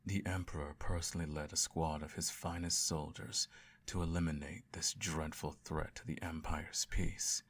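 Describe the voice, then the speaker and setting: A man playing a self-righteous evil emperor and doting father, telling a bedtime story in a measured, grandiose way in an audio roleplay. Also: extremely low pitch, little pitch movement, a bit bright. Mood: solemn storytelling.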